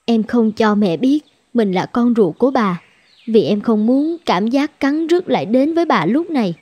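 A woman's voice: a little high, expressive, moderate pace, a little mellow, no echo, highs cut off. A woman playing an earnest, emotive young nurse and mother, tender and sorrowful, in a cartoon drama. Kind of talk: dramatic cartoon dubbing in Vietnamese.

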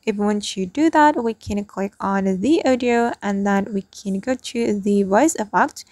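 A woman's voice: medium pitch, a little slow, a little mellow, dry recording. A woman giving a scripted, step-by-step app tutorial as the host, recorded as a voice-over.

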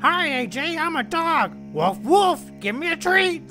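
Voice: extremely high pitch, very animated, measured pace, pushed and bright, soft background music, heavily acted. An actor voicing an eager, simple, perky cartoon dog. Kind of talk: cartoon character acting.

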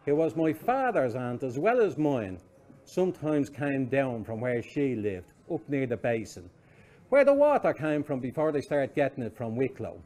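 A man in his fifties with a Dublin Irish accent reads a literary story aloud, expressively and wryly, with deliberate comic timing. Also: a little high, darkish tone. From Dublin, Ireland. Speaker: reader of a short story. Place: small wood-panelled pub snug, indoors.

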